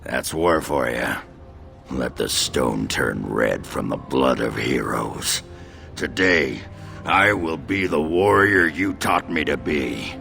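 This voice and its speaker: Very deep, gravelly drunken dwarf warrior. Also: male voice, expressive, takes it slowly, sharp tone, exaggerated voice. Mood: resolute. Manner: crude, folksy, plain-spoken. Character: gruff drunken male dwarf warrior, crude but loyal. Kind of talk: video game voice acting. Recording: music behind the voice.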